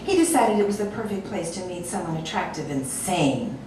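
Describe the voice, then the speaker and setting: A woman reads a comic short story aloud with wry amusement, her pitch lively and bouncing. She sounds set back from the mic in a very echoey room, with steady noise underneath. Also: a little fast, distant mic. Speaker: narrator reading a short story. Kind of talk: audiobook story reading.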